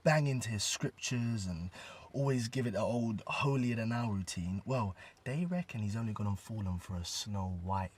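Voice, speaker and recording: An actor playing a cocky, slangy, evasive teenage informant and small-time dealer with an urban London-area British accent in a radio drama. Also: male voice, on the low side, normal speed, husky. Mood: gossipy, smirking.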